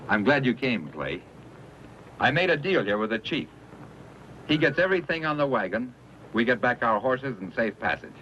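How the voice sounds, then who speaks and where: An actor playing a desperate, self-serving frontier trader, insistent and pleading, in a western radio drama. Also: male voice, mid-range voice, very animated, normal speed, long pauses, pushed and bright. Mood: smooth, bargaining. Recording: muffled lo-fi radio recording, faint noise.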